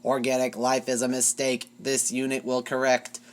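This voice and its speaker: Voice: mid-range voice, monotone, moderate pace, natural voice. Reader doing a choppy robot voice, staccato, cold machine talk, fanfic audiobook. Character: cold robot unit, flat and mechanical.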